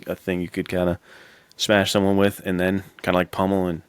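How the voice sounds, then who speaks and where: Deep-voiced casual man co-hosting a podcast. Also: monotone, brisk, clean tone, no room sound. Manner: enthusiastic, slangy. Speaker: podcast co-host.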